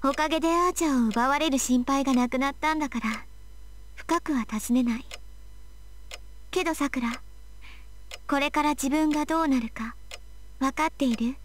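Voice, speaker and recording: A woman's voice: high-pitched, fast, pauses often, a little hiss or hum, natural voice. An actress playing a young anime girl in a Japanese visual novel. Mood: calm, cool. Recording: music behind the voice. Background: background music from the game.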